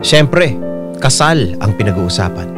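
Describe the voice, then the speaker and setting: A man narrating a listener's letter for a radio drama, in a reflective, expressive scripted style with drawn-out phrases. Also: medium pitch. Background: prominent piano music.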